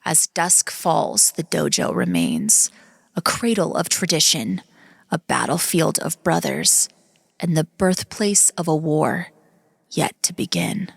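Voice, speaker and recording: Low, slow, creaky woman narrator. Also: expressive, no room sound, clean studio sound. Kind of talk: scripted dramatic story narration.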